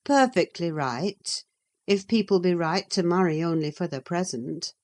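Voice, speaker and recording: A woman reading an audiobook, voicing a practical, cautious woman servant who talks in a measured, reproving way. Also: fairly low pitch, darkish tone, close to an everyday voice. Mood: reasoning.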